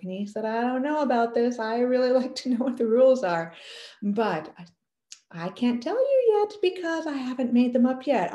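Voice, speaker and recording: Animated woman telling a family story. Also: on the high side, reverberant, lo-fi sound. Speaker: parenting educator. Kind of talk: casual storytelling to camera. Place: small room indoors.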